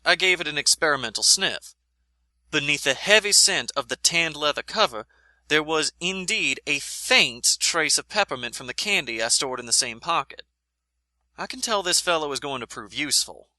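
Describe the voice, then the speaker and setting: A man's voice: fairly high pitch, expressive, normal speed, bright, forceful tone, no echo. A man playing a folksy, shrewd and kindly nineteenth-century American government scout in an audio drama, with a plain-spoken manner. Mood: reflective. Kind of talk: scripted audio drama, dialogue and first-person narration.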